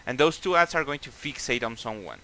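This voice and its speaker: Man, Mexican accent, bright forceful tone, gaming raid leader on headset, loose and sweary. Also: medium pitch, lively intonation, fairly quick, poor audio. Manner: casual, profane, many fillers and restarts. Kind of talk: explaining boss tactics over voice chat.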